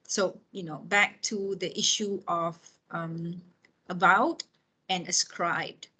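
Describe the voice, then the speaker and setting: A woman's voice: fairly low pitch, fairly even intonation, slow, bright, forceful tone, slightly rough, rough recording. Conversational woman lecturer in her fifties. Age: 50s. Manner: conversational, checks in often with tag questions. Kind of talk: informal lecture with personal anecdotes.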